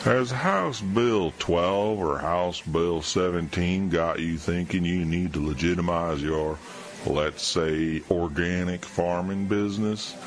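Very deep-voiced male radio ad announcer. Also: slow. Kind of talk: scripted mock radio ad read.

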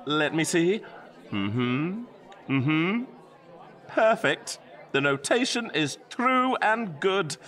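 Wildly animated fussy Tudor composer. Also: male voice, fairly high pitch, full character voice. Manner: theatrical, flustered. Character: fussy, earnest, anxious Tudor court composer. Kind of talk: historical comedy audio drama acting.

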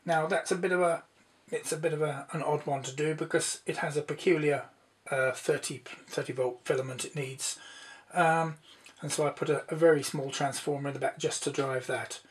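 Higher-pitched man explaining his hobby project. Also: fairly even intonation, normal speed. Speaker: presenter, hobbyist radio builder. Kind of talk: informal demonstration narration.

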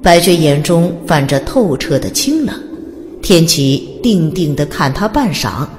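A woman's voice: low-pitched, lively intonation, a little slow, a little mellow. A woman reading scripted story narration in a dramatic audiobook style. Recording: long reverb, faint background noise.

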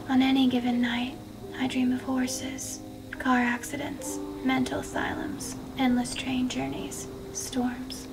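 A young woman giving an intimate literary reading in a hushed, breathy, whispery and deliberate voice. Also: sits high, recorded from a distance. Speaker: reader of a book excerpt. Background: music.

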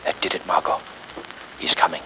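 An actor playing a confident, shrewd gentleman sleuth and secret avenger, measured and dramatic in 1930s radio style. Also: natural voice. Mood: hushed urgent. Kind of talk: 1930s radio mystery acting. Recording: noisy 1938 radio recording.

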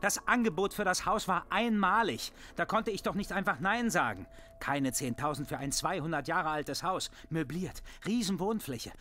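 A man's voice: sits high, expressive, normal speed, sharp tone, a little hiss or hum. A man playing a middle-aged family father in a horror audio drama, defensive, scoffing and sarcastic. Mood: defensive, indignant. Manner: sarcastic, scoffing, self-justifying.

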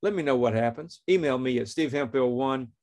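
A man's voice: mid-range voice, fairly quick, a little mellow. A man in his fifties hosting a faith podcast, telling a story and reading scripture in an earnest, plain way. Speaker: podcast host.

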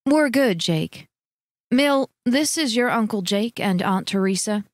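A woman voicing a composed, gentle mother who speaks quietly and politely. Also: a little high, lively intonation, a little slow, dry recording, clean studio sound.

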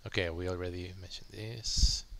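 A man explaining software work informally as he thinks aloud, pausing mid-sentence and often checking with a short tag question. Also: low-pitched, fairly even intonation, vocal fry. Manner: halting, pauses mid-sentence, checks with "right?". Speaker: software developer, tutorial host. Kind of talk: informal live coding walkthrough, thinking aloud. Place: indoors at a computer, screen recording voice-over. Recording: faint background noise.

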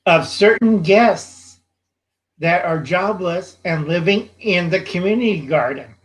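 A man's voice: high-pitched, measured pace. A middle-aged man on a headset in a casual video call, losing his voice and chatty. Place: indoors, home.

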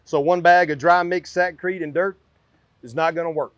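Fairly high-voiced man guiding an explainer. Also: steady tone, normal speed, fairly bright tone, no room sound. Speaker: general manager asking questions as presenter. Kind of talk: guided explainer conversation. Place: indoors in a metal-walled barn or shop.

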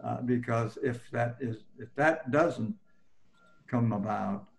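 An older man remembering his life as a guest on a video call, talking haltingly with many fillers and restarts. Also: mid-range voice, gravelly. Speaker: panel guest. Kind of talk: personal recollection in an interview. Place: home room, indoors.

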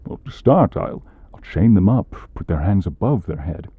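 A man playing a grumpy, exasperated demon who punishes people, curt and gruff, in an ASMR comedy roleplay. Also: low voice, animated, moderate pace, narrow, tinny audio, heavily acted. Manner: gruff, curt, long-suffering.

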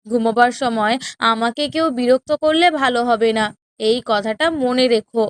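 A woman's voice: a little high, moderate pace, noisy background, close to an everyday voice. A woman voicing a spiteful, imperious younger co-wife in a children's cartoon, scolding and sneering. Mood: scornful, bossy. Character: haughty, spiteful younger co-wife in a village household. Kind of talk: children's cartoon story dialogue.

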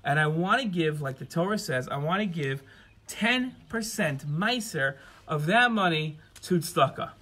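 A man voicing a proud, generous rich donor in a story, with emphatic, drawn-out, repetitive phrasing. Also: a little high, lively intonation, pushed and bright. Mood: eager, generous.

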